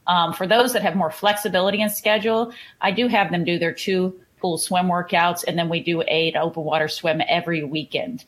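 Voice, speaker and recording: A woman's voice: on the low side, fairly even intonation, brisk, hardly any pauses. A woman with a Texan accent, a triathlon coach chatting in a podcast interview and often repeating words as she starts a thought. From Houston, Texas.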